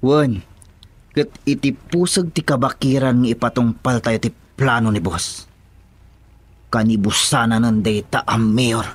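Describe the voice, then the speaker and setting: A man's voice: mid-range voice, unhurried, close to an everyday voice. Cautious scheming Ilocano henchman played by a man. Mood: scheming, impatient. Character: scheming henchman, cautious and loyal to his boss. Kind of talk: Ilocano radio drama acting.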